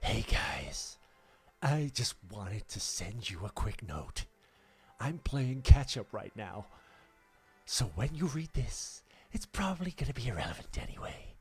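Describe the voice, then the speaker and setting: A man's voice: mid-range voice, big pitch swings, unhurried, a little mellow, breathy edge, soft background music, heavily acted. A man doing a comic impression of a drawling older American film star, reading a letter in a strained, theatrical voice. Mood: hammy, drawling.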